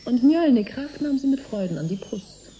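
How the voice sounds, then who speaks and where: A guarded, scornful conspirator in a science fiction radio drama. Her very mellow, low voice is lively in pitch and full of cool, cutting mockery, with faint noise behind it. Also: normal speed, poor audio. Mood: mocking. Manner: cutting, mocking.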